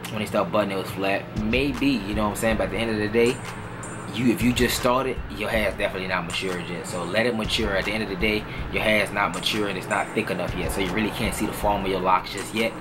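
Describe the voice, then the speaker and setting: A relaxed young man, speaking in a fairly low voice. He talks loosely and casually, circling back with "you know what I'm saying," while clear music plays under him. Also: quick pace. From New Orleans, Louisiana. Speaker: vlogger answering viewer questions. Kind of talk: casual Q&A chat.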